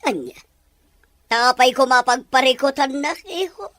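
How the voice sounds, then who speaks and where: A woman's voice: high-pitched, animated, unhurried, fairly bright tone. A woman acting a stern, domineering mother of a grown son in an Ilocano radio drama, scolding and insistent, with an Ilocano accent.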